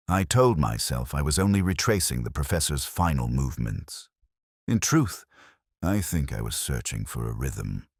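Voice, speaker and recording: A man narrating a first-person detective story in a measured, brooding literary manner. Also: extremely low pitch, husky, dry recording, clean studio sound.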